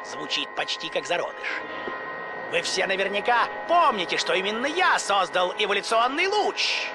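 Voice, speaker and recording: Give me a jittery, self-important mad scientist with a high, lively voice. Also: male voice, moderate pace, noisy background. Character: nervous twitchy male mad scientist. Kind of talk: video game dub.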